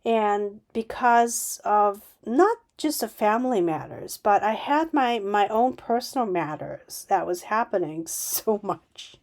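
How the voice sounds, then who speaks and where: A woman speaks slowly and unscripted on her own podcast, her voice sitting at an ordinary middle pitch. She stops and restarts often, then pushes out sudden emphatic bursts. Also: lively intonation, a little mellow. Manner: halting, many restarts and fillers, emphatic bursts. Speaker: podcast host. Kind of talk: unscripted solo podcast monologue.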